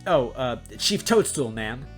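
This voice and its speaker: A man voicing an excitable young female cop who stammers and gushes in an amateur story reading. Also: mid-range voice, measured pace, faint music behind. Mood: flustered, nervous. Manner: stammering when flustered, gushing when excited. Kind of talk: amateur story read-aloud.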